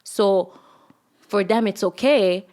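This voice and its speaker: A woman hosting a casual podcast at a medium pitch. She talks in loose, rambling stretches, scattering "like" through nearly every line as she works her thoughts out aloud. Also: slow pace, darkish tone. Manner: loose and rambling, heavy use of "like" fillers. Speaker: podcast host. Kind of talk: casual chat.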